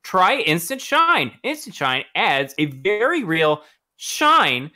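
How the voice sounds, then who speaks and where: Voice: mid-range voice, big pitch swings, slow pace, pushed and bright. A podcast co-host reading absurd marketing copy aloud, with joking asides.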